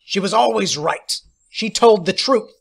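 A man voicing an indignant, hot-tempered schoolboy who shouts and taunts, in an audiobook story. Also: sits high, expressive, measured pace, gravelly, slightly rough, voice pushed up, heavily acted. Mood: angry, yelling. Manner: shouting, sing-song taunts.

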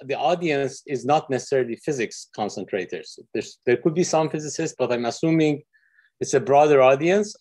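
A man's voice: mid-range voice, a little mellow. A middle-aged man from Iran giving a friendly, explanatory online physics lecture over a video call from his office, with frequent fillers. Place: office with windows.

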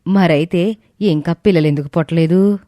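Woman acting prying neighbour auntie, lowish voice. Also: normal speed, dark tone, lightly acted. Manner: nosy, insinuating.

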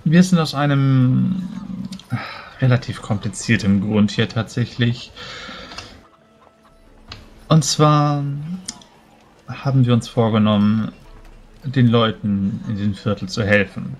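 A man's voice: mid-range voice, slow, pauses often, a little mellow. A man speaking casually as a player in a tabletop role-playing game. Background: background music.

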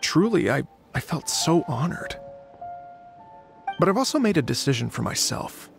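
A flamboyant stage performer in a fantasy game, theatrical and full of effusive praise. His voice sits a little high with a gravelly edge, and faint music plays behind him. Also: sing-song, normal speed. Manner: theatrical, gushing, grateful. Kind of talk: video game voice acting.

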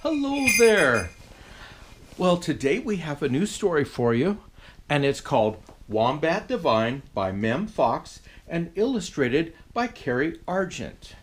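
An older man playing Santa reads a children's story aloud in an expressive, jolly manner. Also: a little high, takes it slowly. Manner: expressive, jolly delivery with chuckles. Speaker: storyteller dressed as Santa Claus. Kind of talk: animated storybook reading for children.

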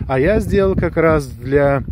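A man talking casually to viewers while walking outdoors, explaining things with drawn-out hesitations. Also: a little high, animated, background noise. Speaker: vlogger reviewing a gadget. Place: outdoors on a woodland path, walking. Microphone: handheld camera or phone held close while walking.

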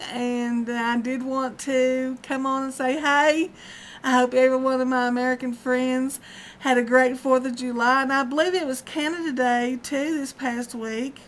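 A woman's voice: high-pitched, a bit bright. A woman, chatting casually in a rambling vlog at home, often breaking into laughter. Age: 50s. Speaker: vlogger. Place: dim room at home, indoors. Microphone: webcam at close range.

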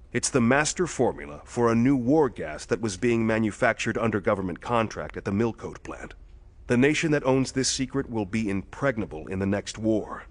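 An actor playing a quiet, authoritative police detective in a pulp thriller audio drama, explaining things evenly and methodically. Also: male voice, fairly low pitch.

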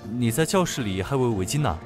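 An actor playing a brash, lovesick teenage schoolboy in an audio drama, grumbling and easily exasperated. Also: male voice, medium pitch, animated, a little fast, over music, natural voice. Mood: grumpy hopeful. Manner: foul-mouthed, grumbling, swinging into outbursts. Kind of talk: modern audio drama acting.

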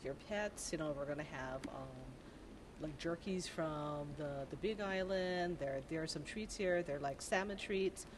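A woman's voice: low voice, measured pace, background noise. An older woman, the event promoter, answering interview questions in a friendly, rambling way full of fillers, into a reporter's handheld mic in an expo hall. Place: large indoor expo hall.

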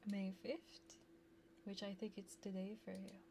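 A soft-spoken, playful woman chatting casually with viewers on an ASMR livestream, close to a binaural microphone. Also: mid-range voice, level tone, unhurried. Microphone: close binaural ear microphone. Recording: clear background noise.

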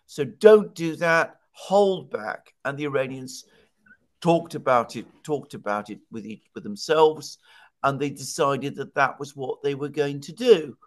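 A man in his sixties speaks as a political commentator in a conversational way. He explains things emphatically and sets up his points with rhetorical questions, at a middle pitch. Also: fairly bright tone. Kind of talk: conversational news analysis. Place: home study with bookshelves. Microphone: headset on video call.

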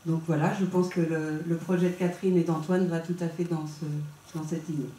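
A woman in her forties talks to a local meeting through a handheld mic, chatty and hesitant. Her voice is mellow and a little low. The sound is roomy and distant, with faint hum behind. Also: flat delivery, moderate pace. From Vendée, France. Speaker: substitute candidate at a public meeting. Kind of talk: introducing herself at a campaign meeting. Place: small meeting room, indoors. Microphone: handheld mic, filmed by a far camera. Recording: echoey room heard from afar.

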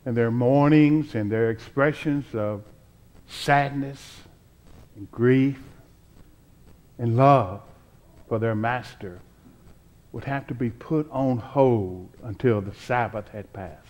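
A man in his sixties delivers a church sermon at a slow pace. His pitch sits in the middle range, and he sets out each phrase deliberately, with dramatic pauses between them. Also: darkish tone. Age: 60s. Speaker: pastor. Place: church sanctuary, at the pulpit. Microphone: pulpit microphone.

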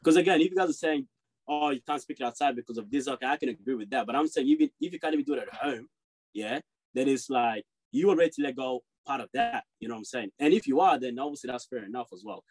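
A man talks fast on a home video call, co-hosting a relaxed podcast chat. He presses his argument and keeps checking that the others follow. His voice sits at an ordinary middle pitch. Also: low-quality recording. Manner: fast, insistent, arguing his point with repeated "you know what I'm saying". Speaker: podcast co-host. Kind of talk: casual group discussion.

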